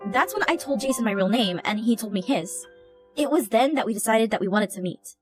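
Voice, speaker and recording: A woman's voice: on the high side, lively intonation, a little fast. A woman narrating a personal story. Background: background music.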